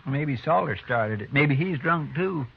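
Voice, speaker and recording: An earnest, deferential western sidekick chats in a plain, folksy way. The voice is fairly high with a lot of creak. It comes from a dull, noisy old radio drama. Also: male voice, animated, unhurried, dry recording, exaggerated voice. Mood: earnest, concerned. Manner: deferential, chatty, plain-spoken. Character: folksy, respectful, earnest marshal's helper, a man.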